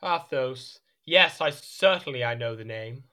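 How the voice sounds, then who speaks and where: Petulant, excitable king, swooping pitch. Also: unhurried. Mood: peevish. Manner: imperious, whiny, theatrical. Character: petulant, excitable young French king, easily outraged. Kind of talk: audio drama acting.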